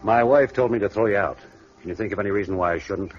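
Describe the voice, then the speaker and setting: An actor playing a brash, gruff wealthy man who threatens a visitor in a 1950s radio drama. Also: lowish voice, quick pace, soft background music, natural voice. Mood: hostile. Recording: dull old radio broadcast.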